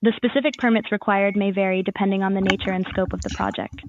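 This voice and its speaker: A woman's voice: mid-range voice, fairly even intonation, quick pace, lo-fi sound. A woman's voice as an AI assistant, giving scripted, formal informational answers in a customer-service manner.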